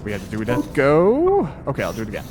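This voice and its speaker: A man's voice: mid-range voice, wildly swooping pitch, quick pace. A man hosting a casual interview while playing a video game. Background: video game audio, faint music.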